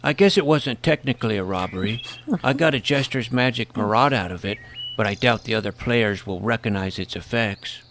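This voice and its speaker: A man's voice: on the low side, a little fast, a bit bright, a little hiss or hum. A man playing a naive, indignant role-player posing as a druid in a fantasy audio drama, mixing mock-archaic pomposity with plain petulant talk. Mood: scolding, then gentle.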